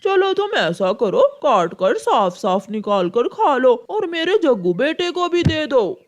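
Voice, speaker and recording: Man acting as a whiny cartoon crow dad, stingy, sly, slow drawling delivery, swooping high pitch. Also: very animated, heavily acted. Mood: grumbling. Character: miserly sly male crow, a whining family father. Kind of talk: Hindi moral-story cartoon dialogue.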